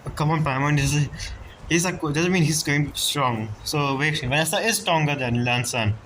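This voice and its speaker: A woman's voice: low-pitched, normal speed, fairly bright tone, slight background noise, low-quality recording. A woman giving casual, offhand commentary while playing through a game, muttering short reactions. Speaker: game streamer. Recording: game music behind the voice.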